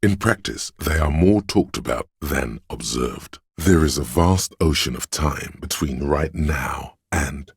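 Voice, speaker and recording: A very deep, learned inner voice. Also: male voice, gravelly, husky, dry recording, studio quality, heavily acted. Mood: learned, detached. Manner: measured, erudite, deliberate. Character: inner encyclopedia voice, grave, learned, detached male narrator of facts. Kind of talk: scripted game narration.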